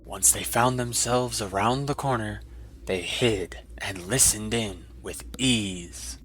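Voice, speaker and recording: A man's voice: lowish voice, takes it slowly, a bit bright. A man narrating a fantasy story in a dramatic, scripted audiobook style.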